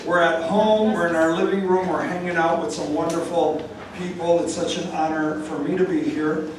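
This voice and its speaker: A man's voice: a little high, steady tone, moderate pace, far from the mic. A man moderating a community meeting, speaking informally to the public in short, emphatic phrases. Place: large echoey meeting room. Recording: very echoey, noisy room from afar.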